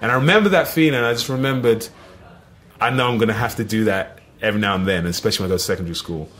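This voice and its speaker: A middle-aged man with an East London accent, telling lively stories as a podcast guest, full of "you know what I mean". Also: on the low side, very fast. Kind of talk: casual storytelling.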